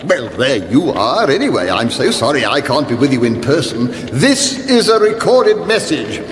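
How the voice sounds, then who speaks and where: An actor playing a doddering, apologetic old wizard who rambles fussily as a recorded vision in a comic fantasy radio drama. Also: male voice, medium pitch, big pitch swings, normal speed, a little hiss or hum, lightly acted. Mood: fussy, apologetic. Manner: rambling, fussy, distracted. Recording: echoey long reverb, music behind.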